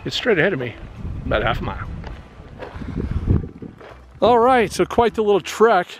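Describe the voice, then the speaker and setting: A man's voice: high voice, big pitch swings, moderate pace. A middle-aged man vlogging casually outdoors in the desert, chatty and a little winded from hiking. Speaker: travel vlogger. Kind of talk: casual outdoor vlog narration. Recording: noisy outdoor recording.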